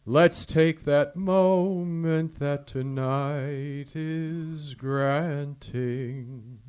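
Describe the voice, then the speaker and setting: An actor playing a flirtatious, wisecracking singing private detective in a 1940s style radio drama, theatrical and playful on stage. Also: male voice, fairly high pitch, clean tone. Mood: romantic crooning. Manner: playful banter, theatrical, bickering. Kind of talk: 1940s radio drama acting with crooned song. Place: live stage performance in a theater hall.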